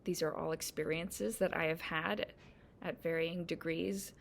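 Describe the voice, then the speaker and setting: A woman from South Korea, an actor introducing herself and speaking thoughtfully with pauses. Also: low-pitched, fairly even intonation, creaky, a little hiss or hum. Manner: thoughtful, pausing with ums. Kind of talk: casual self-introduction.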